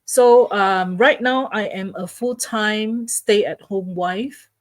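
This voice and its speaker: A woman's voice: mid-range voice, slow pace, dry recording. A middle-aged woman with a Malaysian accent, chatting casually as a guest. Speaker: guest, stay-at-home wife. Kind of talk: casual self-introduction.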